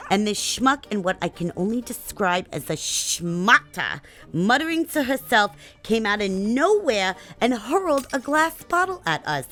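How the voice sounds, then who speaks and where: A woman's voice: a little high, a bit bright. A woman playing a gossipy older New neighborhood watch lady with a chatty, kvetching manner. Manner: chatty, kvetching, Yiddish-peppered. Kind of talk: comedy sketch monologue.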